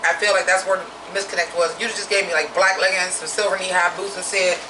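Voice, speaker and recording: A woman with a naturally deep voice giving a blunt, profane, opinionated drag review in a casual vlog. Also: steady tone, fast talker, roomy sound, distant mic, noisy background.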